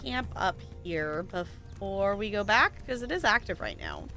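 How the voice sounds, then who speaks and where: A woman's voice: medium pitch, animated, measured pace, pushed and bright, faint music behind. A woman streamer chatting casually while she plays, laughing often and talking to the game. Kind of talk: casual chat while gaming.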